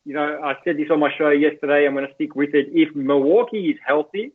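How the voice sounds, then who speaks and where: A man's voice: fairly high pitch, steady tone, fast. A man with an Australian accent, a guest chatting casually as a basketball journalist, opinionated and full of "look" and "you know". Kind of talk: casual podcast chat. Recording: tinny phone-like line.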